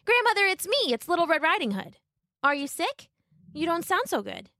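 A cheerful, well-mannered little girl from a storybook, voiced by a woman, high and earnest. Her lines bounce with animated pitch, and the sound is dry and close. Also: normal speed, no echo, full character voice. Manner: bright, earnest and polite.

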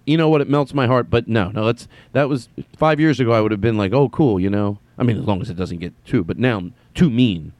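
A man's voice: medium pitch, a little mellow, no room sound. A man hosting a solo comedy podcast, rambling and correcting himself as he jumps between thoughts. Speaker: podcast host. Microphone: headphones on, studio mic.

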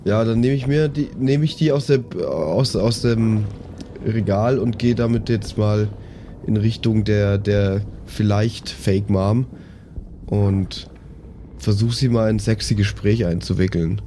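A man's voice: on the low side, unhurried, dark tone, slight background noise. A man improvising casually as a podcast player, hesitant and repeating himself.

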